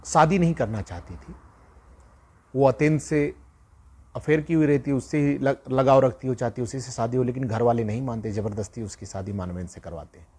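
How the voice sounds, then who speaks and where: Male true-crime storyteller, mid-range and a little husky, conversational, moralizing, leaning on repetition. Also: mid-range voice, darkish tone. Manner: repeats phrases for emphasis, addresses listeners directly.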